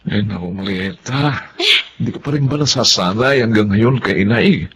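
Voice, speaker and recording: A Filipino actor playing a middle-aged husband in a radio drama, placating and a little dismissive. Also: male voice, on the low side, moderate pace, slight background noise, lightly acted. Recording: slightly dull, muffled sound.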